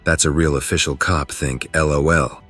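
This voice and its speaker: A man's voice: a bass voice, steady tone, moderate pace, a bit bright, breathy edge. A man narrating forum posts in a matter-of-fact reading voice. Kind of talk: scripted narration. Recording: music underneath.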